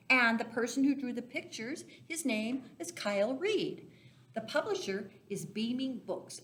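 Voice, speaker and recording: A woman reading a picture book aloud to young children as a storyteller, expressive and deliberate, stressing key words and speaking directly to her listeners. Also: fairly high pitch. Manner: talks directly to young listeners, asking simple questions. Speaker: children's storyteller for a church Sunday school.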